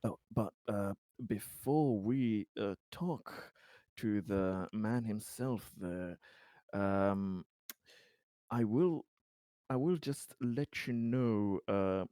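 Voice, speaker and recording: A man acts out a careful corporate executive in a tabletop game. He is lowish in pitch and formally polite, feeling his way through long, halting sentences. The sound is close and dry. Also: warm tone, no room sound. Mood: measured, diplomatic. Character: cautious, diplomatic male corporate executive.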